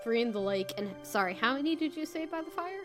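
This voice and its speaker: Female player, higher voice, informal and playful game chat over clearly audible music. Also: expressive, fast. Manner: playful, describes actions informally. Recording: music bed behind the voice.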